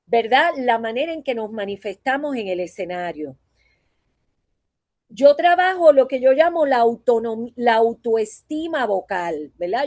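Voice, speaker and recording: A woman's voice: a little high, a little mellow, no room sound, clean studio sound. A woman from Puerto Rico with a Puerto Rican accent, a voice teacher giving a conversational conference talk and often checking in with her listeners through tag questions. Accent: Puerto Rican Spanish.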